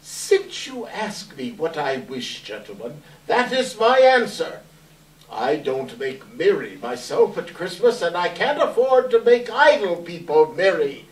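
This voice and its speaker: Far from the mic in an echoey room, a man acts out a cold old miser in a readers theater piece. He drags his words slowly, curt and sneering, with grumbling contempt and wide swings of pitch. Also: big pitch swings. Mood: cold, scornful. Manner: curt, sneering, drawn-out delivery. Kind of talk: readers theater stage reading. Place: live indoor readers theater event.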